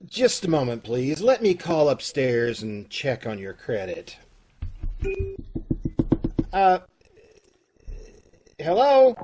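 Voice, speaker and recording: A man's voice: fairly high pitch, sing-song, slow pace, pauses often, music in the background. A man playing a flustered middle-aged loan officer in an old-time radio comedy, repeating himself, stammering and laughing in exasperation, heard over a video call. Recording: distant, uneven video call audio.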